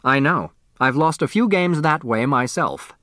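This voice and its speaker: A man voicing a shrewd, composed storybook judge who probes and gently chides. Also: on the high side, expressive, measured pace, no room sound, studio quality, pitched above the performer's own voice. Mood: gently chiding.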